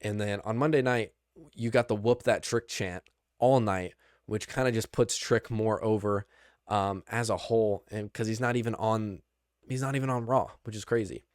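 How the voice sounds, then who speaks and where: A young man hosting a podcast, recapping wrestling in casual chat, rambling and excitable, with lots of restarts. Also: fairly low pitch, normal speed, dry recording, clean studio sound. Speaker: podcast host.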